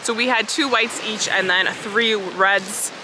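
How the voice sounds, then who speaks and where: A woman's voice: medium pitch, fairly even intonation, unhurried. Young woman, chatty vlogger, handheld camera, noisy outdoor wind and surf. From Canada. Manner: bubbly, chatty, lots of "really nice". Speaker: travel vlogger. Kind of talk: casual vlog talk to camera. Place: outdoors by a foggy lakeshore. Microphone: handheld camera at arm's length.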